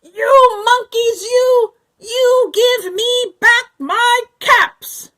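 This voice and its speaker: A woman voicing an angry male cap peddler who shouts slowly and with force. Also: extremely high pitch, steady tone, pitched above the performer's own voice, full character voice. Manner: slow, drawn-out angry shouting.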